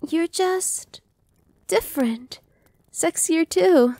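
A woman voicing a cheerful, newly turned young female vampire in an ASMR roleplay, speaking softly and reassuringly, sometimes dropping to a whisper. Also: very high voice, drawn-out words, no echo, heavily acted. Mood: playful. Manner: soft, reassuring, playful, drops to whispers. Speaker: voice actress.

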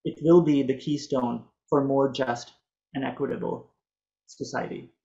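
A man in his thirties whose first language is Urdu, reading a prepared talk in a measured, earnest way over a video call from a home office. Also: mid-range voice, fairly even intonation, gravelly, dark tone, highs cut off. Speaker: physician presenter.

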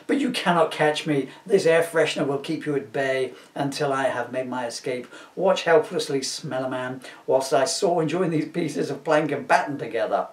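A man's voice: medium pitch, normal speed, few pauses, lightly acted. Man playing a whiny, theatrical supervillain in a roomy space. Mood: defiant. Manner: melodramatic, complaining. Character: whiny aggrieved electric supervillain, glowing and theatrical. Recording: roomy, distant camera microphone.

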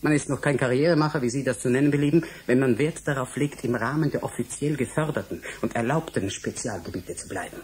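An actor as an earnest young male scientist in a radio drama. His voice sits at a medium pitch and he speaks with careful, orthodox formality. A little noise lies behind him. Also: a little mellow, natural voice. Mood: defensive. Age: 20s. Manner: formal, measured, polite. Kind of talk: German radio drama acting.

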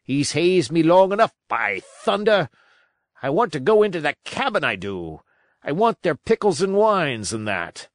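A man reading a storybook, voicing an impatient, mutinous pirate coxswain who grumbles in rough sailor speech. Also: a little high, sing-song, unhurried, no echo, clean studio sound.

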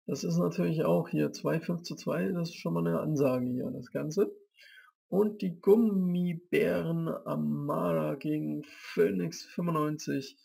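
A man recaps an online football manager match day in a chatty, rambling way, often tripping over team names. His voice is gravelly and husky, sitting a little high for a man. He talks slowly and unhurriedly. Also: steady tone, warm tone. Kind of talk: casual match-day commentary over screen recording.

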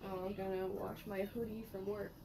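A young woman rambles casually while sorting laundry, her voice gravelly with fry. She is recorded by a camera mic across a small bedroom, with a clear hiss of noise underneath. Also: medium pitch, level tone, normal speed. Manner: rambling, chatty, talking to herself while doing laundry. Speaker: vlogger. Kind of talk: casual vlog narration. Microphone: camera mic at a distance.

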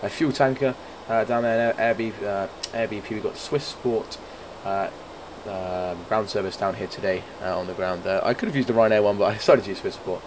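A man with a hoarse, croaky voice giving casual, rambling commentary on a flight simulator game, full of fillers and restarts. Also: on the low side, fairly even intonation, fairly quick, background noise. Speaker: flight sim streamer.

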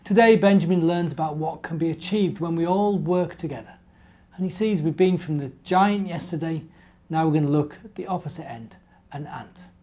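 British guy in his 40s, mellow voice, storytime chat for young children, asks them stuff. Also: a little high, normal speed, slight background noise. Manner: simple, chatty, asking questions of young listeners. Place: living room indoors.

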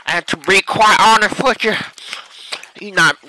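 A high-pitched man voices comedy animal clips with slangy, exaggerated delivery. Clear noise runs behind his expressive voice. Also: a little slow, poor audio.

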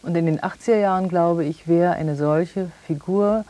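A middle-aged woman conducting a measured television interview in a studio. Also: fairly low pitch, dark tone.

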